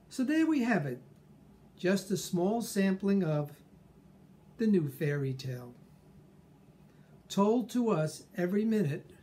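An older man speaks very slowly in a reflective, scripted monologue. His voice sits a little high for a man and has a soft, mellow tone. He measures out each phrase with pauses, speaking indoors at home to a camera about an arm's length away. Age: 60s or older. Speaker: personal growth speaker. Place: small furnished room at home, indoors.

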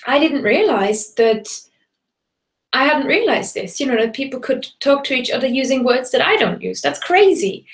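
A woman from Germany, a native German speaker, teaching German in a chatty, enthusiastic live online class and greeting viewers from the chat. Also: a little high. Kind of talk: live bilingual webinar.

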